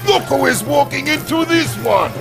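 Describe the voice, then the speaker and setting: An actor playing a jovial, bombastic older Soviet premier with a Russian accent and effusive, grandiose delivery in a video game cutscene. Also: male voice, very high-pitched, expressive, normal speed, vocal fry, music in the background, heavily acted.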